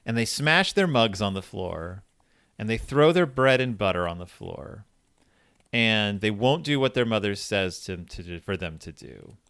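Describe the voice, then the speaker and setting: A man with a lively, widely swinging voice hosts a podcast in a dry, close-sounding recording. He casually retells a fairy tale, slipping into quoted dialogue and putting leading questions to his listener as a conversational storyteller does. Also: lowish voice, measured pace, creaky, dry recording. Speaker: podcast host.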